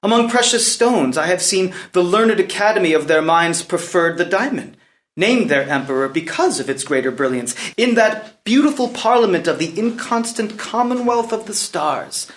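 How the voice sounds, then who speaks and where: Man acting a high-voiced, proud young prince. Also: lively intonation, moderate pace. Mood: enraptured, wooing. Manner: declamatory, florid, emphatic. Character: proud, impetuous young prince, defiant and passionate. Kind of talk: unrehearsed dramatic play reading.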